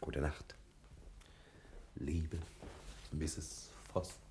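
An actor playing a scheming, smooth-talking detective in a German radio play, with a husky voice and drawn-out phrasing capped by little tag questions. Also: male voice, extremely low pitch, flat delivery, heavy background noise, full character voice. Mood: persuasive, plotting. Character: scheming, smooth-talking male detective hunting stolen millions.

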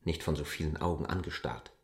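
Radio play casting: bold land surveyor, low voice, measured, coolly insistent. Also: male voice, gravelly. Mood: persuasive. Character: bold, cool, calculating male outsider, a land surveyor. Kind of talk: literary radio drama acting.

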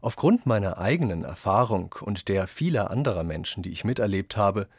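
Lower-pitched man reading quotations, dry sound. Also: expressive, a little fast, darkish tone, breathy edge, no echo. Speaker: reader of quotations in a radio essay. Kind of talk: scripted reading of quoted texts.

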